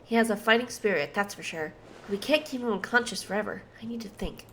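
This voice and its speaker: A woman voicing a confident young athletic trainer in a video game, earnest, steady and reassuring. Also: medium pitch, quick pace, background noise, lightly acted. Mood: concerned, composed. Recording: game dialogue over music.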